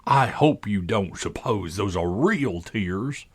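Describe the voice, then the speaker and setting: A man's voice: medium pitch, very animated, normal speed, breathy edge, pitched above the performer's own voice. A man voicing a childish, quarrelsome, blustering twin from a storybook, with a contemptuous manner.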